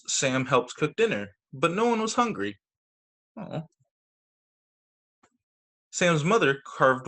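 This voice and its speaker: A man reading picture books aloud to children as a teacher, expressively, with casual asides about the pictures. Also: a little high. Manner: expressive, acts out quoted lines, comments on the pictures. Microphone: video call.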